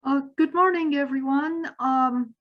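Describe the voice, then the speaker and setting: A woman's voice: sits high, a little slow. A woman from the Greater Toronto Area and a returning club member, introducing herself hesitantly, with fillers and restarts. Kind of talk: self-introduction at a club meeting. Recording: dull, lo-fi video call audio.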